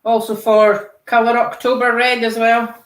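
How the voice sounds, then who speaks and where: An older woman chatting on a video call, speaking softly and trailing off at times, with a dry sense of humour. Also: on the high side, level tone, moderate pace, reverberant. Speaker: participant in a live colouring call. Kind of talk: casual chat.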